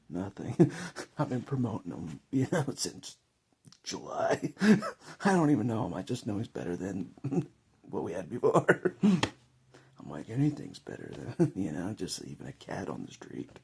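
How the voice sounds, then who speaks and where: An American man with a gravelly, husky voice, chatting casually and jokily in a rambling vlog monologue, often chuckling. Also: on the low side, a little mellow, slight background noise. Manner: chuckles often, jokey, conversational. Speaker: vlogger giving political opinion.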